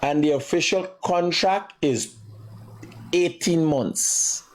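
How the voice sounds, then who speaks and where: Male live morning host, Guyanese accent, high voice, emphatic and opinionated delivery. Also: slow pace. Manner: emphatic, repetitive, accusatory.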